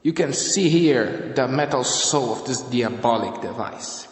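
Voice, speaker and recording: A man's voice: medium pitch, a little slow, natural voice. A man voicing a proud, dramatic French general in a declamatory way for an amateur audio drama. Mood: accusing. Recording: echoey room with long reverb.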